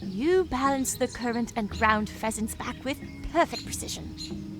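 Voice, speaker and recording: A woman playing a gentle, devout rabbit healer mentor, earnest and pleading, in a fantasy video game. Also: fairly high pitch, lively intonation, unhurried, over music. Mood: gentle instructing. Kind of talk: dramatic video game dialogue.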